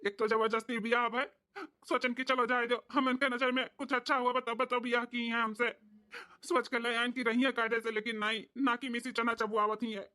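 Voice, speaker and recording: A performer plays an indignant village husband from a cartoon comedy. He complains in a bright, forceful voice with an Awadhi accent, rattling off rhetorical questions with little rise or fall. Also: mid-range voice, little pitch movement, brisk, natural voice. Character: aggrieved village husband, scolding his wife to her father.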